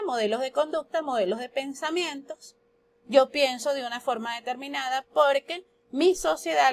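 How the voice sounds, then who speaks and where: A woman from Colombia with a Colombian Spanish accent, giving a spoken lesson on astrology that poses questions and then answers them. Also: sits high. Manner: explanatory, asks and answers her own questions. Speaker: astrology teacher.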